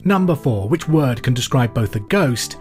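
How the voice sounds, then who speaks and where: A man's voice: mid-range voice, lively intonation, normal speed, soft background music. A man with a British accent hosting a pub quiz podcast in an informal, chatty way with jokey asides.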